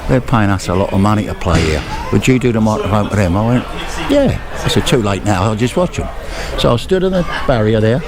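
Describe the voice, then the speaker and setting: A man's voice: fairly low pitch, lively intonation, a little fast. An older man, a football stadium announcer, telling jokey stories and acting out the voices he quotes. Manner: chatty, jokey storyteller who acts out quoted voices. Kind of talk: storytelling chat. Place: outdoors at a football ground. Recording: crowd noise behind. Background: crowd.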